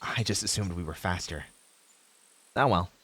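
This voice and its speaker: A man's voice: deep voice, moderate pace, fairly bright tone, breathy edge. A man playing an earnest, idealistic young novice hero in a fantasy audio roleplay, speaking in formal heroic phrases with hesitant asides to a companion. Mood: reasoning, casual.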